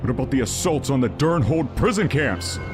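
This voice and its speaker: A man's voice: mid-range voice, very animated, normal speed, natural voice. A man playing a stern, earnest human army captain in a fantasy game, speaking formally. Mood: indignant. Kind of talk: fantasy game cutscene acting. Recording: music under the voice.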